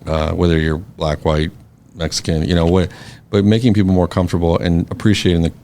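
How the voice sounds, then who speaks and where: Deep gravelly man, reflective. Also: level tone, a little fast, a little mellow. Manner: reflective, many fillers and restarts. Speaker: guest, scholarship foundation board president. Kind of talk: casual podcast conversation.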